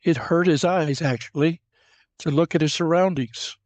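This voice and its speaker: A man's voice: on the high side, normal speed, darkish tone, dry recording. A man narrating a scripted fantasy adventure in a dramatic storytelling style. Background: cinematic music.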